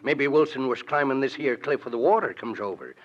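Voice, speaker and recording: An actor playing a comic cowpoke sidekick with a rustic, folksy way of talking, on a lo-fi vintage radio recording. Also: male voice, medium pitch, a little fast, lightly acted. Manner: folksy drawl, rustic grammar, hesitant. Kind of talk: 1940s radio acting.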